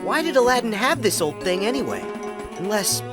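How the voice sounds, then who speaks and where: A man voicing a plucky, earnest teenage boy hero in an animated game, youthful and curious. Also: high voice, fairly quick, music in the background. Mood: puzzled. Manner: youthful, spirited. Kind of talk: animated game character acting.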